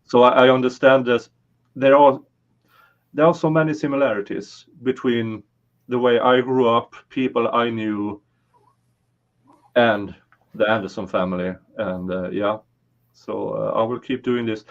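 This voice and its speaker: Man, Swedish accent, livestream host, slow, halting, slightly muffled audio. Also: mid-range voice, steady tone. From Sweden. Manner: hesitant, many fillers and restarts. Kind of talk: casual livestream chat.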